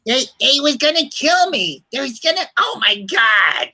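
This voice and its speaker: Man putting on a whiny, high-pitched panicky youngster. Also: very animated, a little slow, bright, forceful tone, exaggerated voice. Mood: frightened pleading. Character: panicky pleading young street criminal in a comic script. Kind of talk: hammy amateur comic dramatization.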